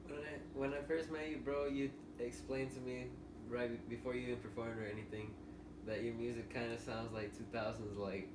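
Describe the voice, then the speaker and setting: A man's voice: mid-range voice, flat delivery, a little fast. A man hosting a podcast, asking questions in a laid-back, friendly way. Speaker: podcast host. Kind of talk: casual podcast interview. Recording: roomy, distant mic with noise.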